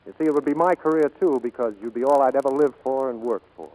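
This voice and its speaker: An actor playing an earnest, steady American aircraft builder in a 1940s radio drama, heard on a vintage broadcast recording. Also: male voice, medium pitch, fast, natural voice, low-quality recording. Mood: tender, earnest.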